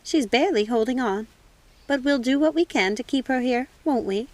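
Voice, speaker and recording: A tender, reassuring witch mother from a storybook, played by a woman reader. Her voice is high and motherly, with a soothing, protective way of speaking. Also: normal speed, darkish tone, no room sound, natural voice.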